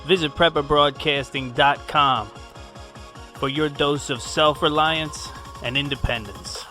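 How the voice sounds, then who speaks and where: A man's voice: on the high side, steady tone, measured pace, bright, forceful tone. A man announcing a scripted radio promo for a network. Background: music.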